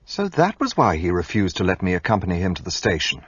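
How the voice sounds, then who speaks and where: An actor playing an earnest, impulsive gentleman who narrates a radio play in an expressive way. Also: low voice, fast, husky, dry recording, lo-fi sound, heavily acted.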